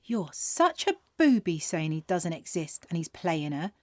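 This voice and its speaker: A woman playing a sharp-witted, irreverent young working-class penitent in a period audio drama. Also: fairly low pitch, sing-song, unhurried, no echo, studio quality. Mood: teasing, urgent. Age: young adult. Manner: cheeky, irreverent.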